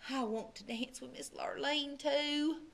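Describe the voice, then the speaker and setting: A woman's voice: high-pitched, measured pace, vocal fry. A woman voicing a shy, humble young cowboy in a storybook.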